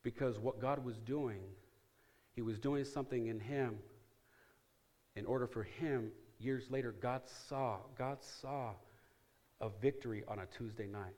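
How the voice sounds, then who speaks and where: A middle-aged man preaching a sermon as pastor, repeating phrases for emphasis and swinging from loud calls to hushed asides. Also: medium pitch, a little slow.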